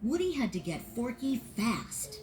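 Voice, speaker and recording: A woman narrating a children's read-along storybook in an expressive, sing-song way. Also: a little high, measured pace, a little hiss or hum.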